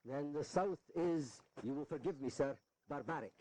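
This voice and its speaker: A man's voice: fairly high pitch, normal speed, a little mellow, no echo, close to an everyday voice, poor audio. An actor playing a principled, indignant Northern statesman in a historical audio drama, formal and sardonic.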